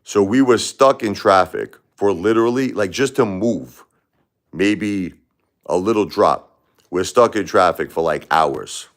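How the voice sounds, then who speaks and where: A man's voice: low voice, a little slow. A man from Brooklyn, talking casually on his livestream, rambling with repeated words and answering chatters by name. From Brooklyn, New York. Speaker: livestream host. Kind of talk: casual livestream chat with viewers.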